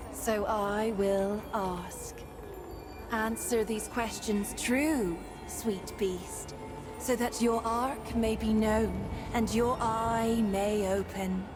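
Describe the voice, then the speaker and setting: Ethereal, slow-speaking fantasy seer. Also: female voice, a little high. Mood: mysterious, curious. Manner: measured, cryptic, formal questioning. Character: ethereal wandering female seer, enigmatic and probing. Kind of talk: theatrical fantasy game acting. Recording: music behind the voice.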